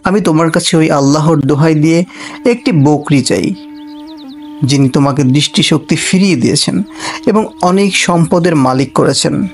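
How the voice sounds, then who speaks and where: A man playing a cartoon royal guard disguised as a poor traveller, pleading and preaching in an earnest, deliberate way. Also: on the high side, faint music behind, close to an everyday voice. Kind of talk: Bengali cartoon character acting.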